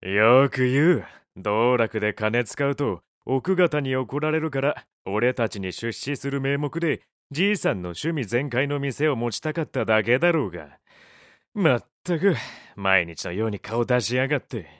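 A male actor as a gruff café owner in an audio drama. His medium-pitched voice is casual and rough-edged, with a vain streak and a habit of grumbling bluntly. It is recorded very dry. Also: expressive, moderate pace, dry recording, natural voice. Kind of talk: Japanese audio drama acting.

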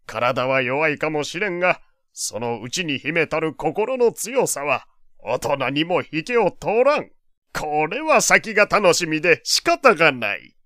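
A man's voice: a little high, very animated, measured pace, a bit bright, dry recording, clean studio sound. An actor playing a proud, doting, wealthy old father who talks in pompous old-fashioned speech in an audio drama. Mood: boastful, pleased. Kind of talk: Japanese audio drama acting.

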